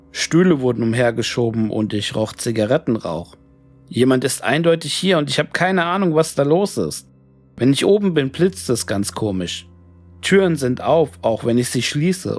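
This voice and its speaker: A man narrates a scripted horror story in pronunciation. His mid-pitched voice carries a clear gravelly creak. Music plays underneath the reading. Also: no room sound.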